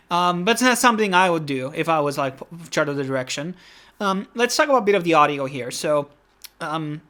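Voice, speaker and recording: Guy rambling through an anime review, lots of likes and ums, voice a bit high. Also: fairly bright tone. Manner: rambling, heavy on fillers like "like" and "um". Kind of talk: casual video review.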